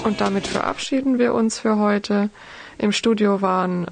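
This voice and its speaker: A woman's voice: medium pitch, normal speed, creaky, loud music behind the voice. A woman co-hosting a radio programme and speaking a translated voice-over.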